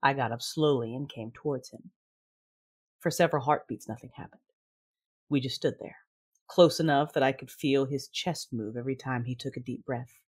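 An actress playing a proud, passionate but guarded woman warrior, narrating an audio drama in the first person in a breathy, intimate, measured way. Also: very deep voice, darkish tone. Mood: sensual, tender. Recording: music under the voice. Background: music.

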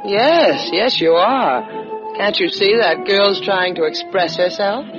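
An actress playing a cool, sassy adventuress sidekick with a low-pitched voice, dry and wisecracking in a 1950s radio drama. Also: female voice, lively intonation, slow. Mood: pert. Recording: dull 1950s radio recording, faint music.

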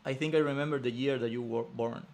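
A man's voice: mid-range voice, fairly even intonation, quick pace, a little mellow. A man in his thirties whose first language is Spanish, answering as a podcast guest in short, simple phrases. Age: 30s. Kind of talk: casual interview chat.

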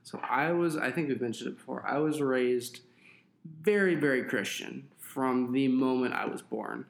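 Gravelly man in an echoey room. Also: medium pitch, moderate pace. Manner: relaxed, rambling with fillers. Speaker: podcast co-host. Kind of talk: casual podcast chat.

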